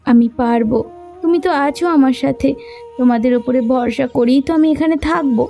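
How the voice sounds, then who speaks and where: Creaky high-voiced devoted young village wife. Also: female voice, brisk, mellow. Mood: insistent, devoted. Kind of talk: Bengali fairy-tale cartoon dubbing. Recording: music bed under the voice.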